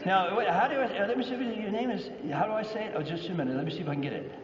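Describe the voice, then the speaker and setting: A man with a Canadian accent addresses an expo crowd in a playful, teasing way, and his voice sits a little high. The sound is dull, as if caught from far off in a big echoing hall. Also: very fast, background noise. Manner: playful, teasing audience interaction. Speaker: business speaker. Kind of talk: live motivational talk. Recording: echoey hall, distant mic, dull sound.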